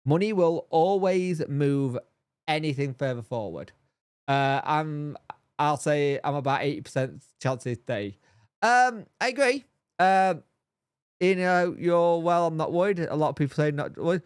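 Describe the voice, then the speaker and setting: A man with a somewhat high voice that sounds bunged up, nasal and throaty, as if he has a cold. He rambles and repeats himself, chatting casually to his viewers. His voice is recorded close and dry, with no room sound. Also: animated, a little slow, clean tone, no echo. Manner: rambling, repeats himself, answers chat by name. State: congested, bunged up. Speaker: fan channel host reading live chat. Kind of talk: casual live-stream chat.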